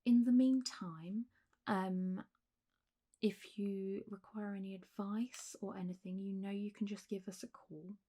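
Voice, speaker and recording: A woman doing a soft, half-whispered ASMR roleplay as a gentle, reassuring doctor talking a patient through care, in a close recording with faint hiss. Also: medium pitch, steady tone. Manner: gentle, reassuring, unhurried doctor's bedside talk. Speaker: ASMR performer playing a clinic doctor.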